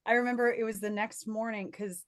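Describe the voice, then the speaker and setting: A woman's voice: on the high side, fairly even intonation, fairly quick. A woman co-hosting a podcast, telling stories casually and earnestly, stopping and starting with lots of "like". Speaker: podcast co-host. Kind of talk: casual chat.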